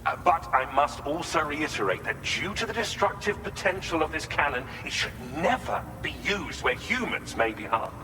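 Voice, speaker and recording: Male inventor, formal and wordy, enthusiastic, very animated intonation, video game cutscene, long reverb. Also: on the high side, normal speed, hardly any pauses, over music. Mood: grave, cautioning. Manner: formal, wordy, precise British-style phrasing. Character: courteous, enthusiastic male scientist and inventor, eager to explain. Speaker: researcher and quest giver. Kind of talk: scripted game cutscene dialogue. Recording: long hall reverb, clear vehicle ambience noise.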